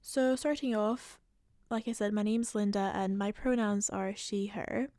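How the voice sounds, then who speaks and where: A woman's voice: mid-range voice, steady tone, unhurried, dark tone, no echo, studio quality. A woman in her twenties from Central Europe with a mostly Scottish, slightly international accent, speaking informally and explaining things as a solo podcast host. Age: 20s. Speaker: podcast host, medical student.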